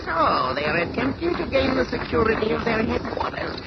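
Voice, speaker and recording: An actor playing an arrogant alien supervillain in a superhero radio drama, with grandiose, menacing, drawn-out declarations. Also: male voice, very high-pitched, sing-song. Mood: gloating, scornful. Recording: muffled, very noisy old radio recording.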